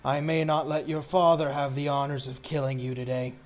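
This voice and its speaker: A man's voice: medium pitch, fairly even intonation, brisk, lightly acted. A man voicing a haughty, honorable but menacing armored warrior in a tabletop game, with formal, taunting speech. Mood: proud, menacing.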